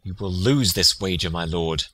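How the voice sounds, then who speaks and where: An actor playing a loyal, concerned gentleman, friend to a prince, in a Shakespearean dramatic reading. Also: deep voice, moderate pace, no echo.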